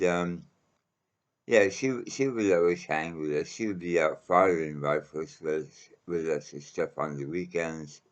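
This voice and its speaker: A man with a very low voice recounts a personal story casually. His delivery is unhurried and halting, rambling along with frequent pauses.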